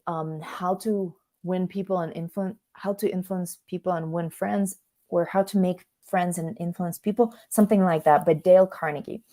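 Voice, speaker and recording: Female career coach from Russia, Russian native, slightly low voice, informal conversational workshop delivery with self-interruptions. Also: a little mellow. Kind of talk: conversational online workshop presentation.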